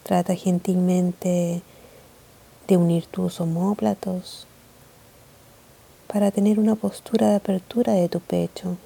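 A woman leading a guided meditation in a calm, gentle, measured way of speaking. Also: on the low side, vocal fry, husky.

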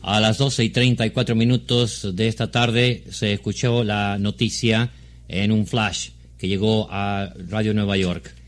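A man with a lowish voice delivers a live news report. He is formal, with an occasional stumble over a word. It sounds like a 1960s shortwave radio broadcast. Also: level tone, moderate pace. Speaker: Spanish-language news reporter.

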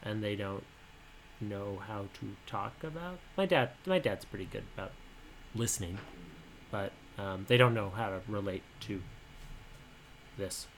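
A man in his twenties with a fairly high, boyish voice, co-hosting a casual podcast and talking candidly in a halting, soft-spoken way. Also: a little hiss or hum, poor audio. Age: 20s. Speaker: podcast co-host.

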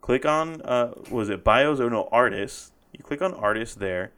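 A man's voice: mid-range voice, expressive, moderate pace, fairly bright tone. A man hosting a podcast in casual conversation, enthusiastic and full of praise, laughing often. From Spanish heritage. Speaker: podcast host. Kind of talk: casual interview chat.

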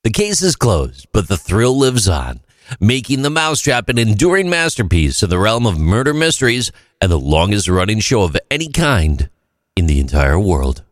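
A man hosting a short story program, reading scripted narration in a measured, dramatic storytelling manner. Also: on the low side, big pitch swings, no room sound, studio quality.

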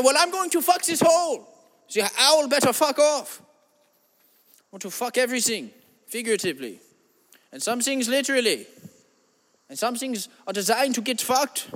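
A man voicing an eccentric self-styled spiritual guru with a put-on German accent, in a drawn-out, lofty, preachy comedy monologue. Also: high-pitched, fairly bright tone, long reverb tail, exaggerated voice. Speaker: comedy podcast host. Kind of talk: improvised comedy monologue in character.

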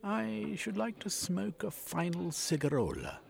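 A man voicing a frightened, grey-haired old English gentleman who pleads and stammers. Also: high voice, huge pitch swings, a little slow, vocal fry, pitched above the performer's own voice, exaggerated voice. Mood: fearful.

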